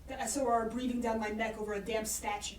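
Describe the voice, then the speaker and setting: A woman's voice: a little high, level tone, fast, close to an everyday voice. A woman playing a blunt, hard-pressed, weary sheriff in an audio drama. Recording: echoey, distant mic with hiss.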